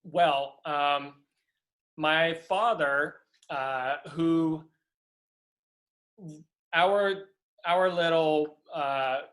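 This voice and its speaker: A middle-aged man from Louisiana, a prop master telling stories in a relaxed interview over a video call, rambling with many fillers and restarts. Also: fairly high pitch, very slow, long pauses.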